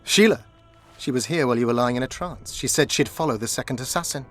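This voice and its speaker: A man acting an eager, inquisitive companion with a husky voice in a video game. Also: medium pitch, quick pace, soft background music, close to an everyday voice. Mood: eager, curious. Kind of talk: dramatic video game dialogue.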